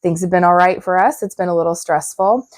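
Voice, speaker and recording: Woman, crafting vlog host, hoarse, froggy, lowish voice, chatty. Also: fairly even intonation, a little fast, no room sound. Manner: chatty and upbeat. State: hoarse, recovering voice. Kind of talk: casual vlog talk to camera.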